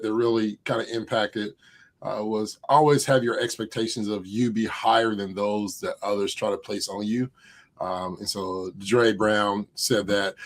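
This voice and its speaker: A man co-hosting a live online summit, eager and halting, often restarting his sentences. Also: fairly low pitch. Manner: enthusiastic, halting, with many restarts. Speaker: event co-host.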